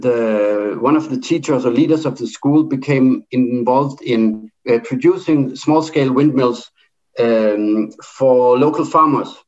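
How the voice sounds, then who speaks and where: Halting Danish-accented man, muffled audio. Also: mid-range voice, a little mellow. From Denmark. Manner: halting, with many fillers and restarts. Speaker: debate speaker. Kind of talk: speaking in an online debate.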